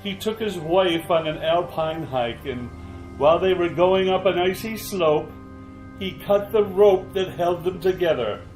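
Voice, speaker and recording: A man playing a gleeful, ghoulish horror host in a retro radio drama, theatrical and drawn-out with mock courtesy. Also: high voice, darkish tone, roomy sound, soft background music, low-quality recording. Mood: gleefully macabre.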